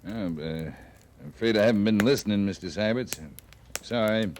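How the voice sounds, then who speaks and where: An actor playing a world-weary, no-nonsense cavalry captain, curt and dry, in old-time radio western style. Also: male voice, fairly low pitch, moderate pace, close to an everyday voice. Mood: dry, gruff. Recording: noisy old radio recording.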